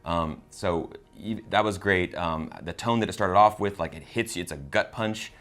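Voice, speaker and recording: A man's voice: deep voice, moderate pace, a bit bright. A man chatting casually as a film review host. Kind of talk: casual chat.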